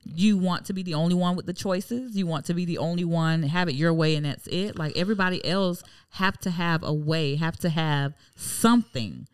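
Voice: medium pitch, normal speed, few pauses, smooth, dry recording, studio quality. A speaker in casual conversation, emphatic and exasperated, repeating points. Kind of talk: casual chat.

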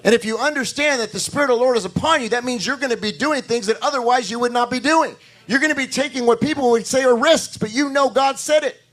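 A male pastor delivers a sermon with a hoarse voice that sits fairly high for a man. He preaches in church, pressing his points with rising, emphatic declarations. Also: fast, few pauses, fairly bright tone.

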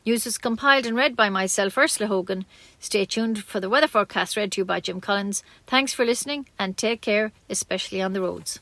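A woman reading a local community radio news bulletin in a measured, friendly way, with small slips now and then. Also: medium pitch, fairly bright tone, dry recording. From Ireland.

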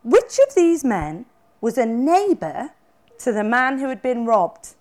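Calm kindly male teacher acted by a woman, firm yet gentle, slow, lively melody, Bible storybook. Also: on the high side. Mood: warm, encouraging. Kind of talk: children's Bible storybook reading.

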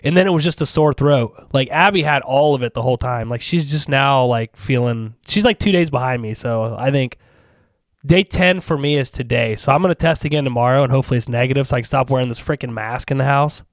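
A young man from Ohio co-hosting a podcast, telling a story in casual chat, animated and profane, a bit nasal and recovering from a sore throat. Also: medium pitch, brisk, no room sound, clean studio sound. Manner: profane, animated storyteller, calls everyone dude. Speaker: podcast co-host.

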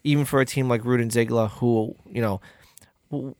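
A man in his thirties co-hosting a podcast in casual chat, with short agreeing replies and animated opinions. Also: mid-range voice, moderate pace, no room sound, clean studio sound. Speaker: podcast co-host.

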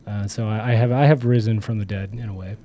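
A hoarse man co-hosting a chatty podcast, with a dark, mellow tone. He rambles and jokes, filling his talk with lots of ums and uhs. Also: fairly low pitch, steady tone, quick pace, a little hiss or hum. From Michigan. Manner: joking, rambling with many fillers. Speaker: podcast co-host. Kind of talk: casual political podcast chat.

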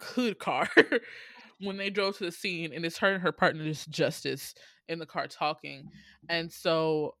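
Animated, slangy American woman chatting casually. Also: fairly low pitch, no echo. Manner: animated, slangy, profane, laughs often. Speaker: podcast co-host recapping a movie. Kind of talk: casual comedic storytelling chat.

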